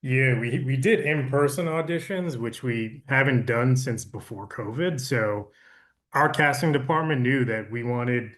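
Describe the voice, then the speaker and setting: A man's voice: medium pitch, measured pace, fairly bright tone. A man talking conversationally as a producer in a panel discussion. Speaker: audiobook producer.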